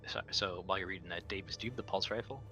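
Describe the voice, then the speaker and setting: Low-voiced man playing a tabletop game. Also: rapid-fire, over music. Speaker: player in a tabletop roleplaying game. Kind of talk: casual game chat describing his character's actions.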